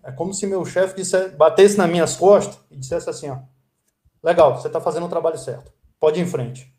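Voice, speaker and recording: A man in his fifties from Brazil, a guest telling stories in a relaxed, chatty way. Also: a little high, fairly quick, roomy sound. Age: 50s. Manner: storytelling, lively and chatty. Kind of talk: casual interview chat.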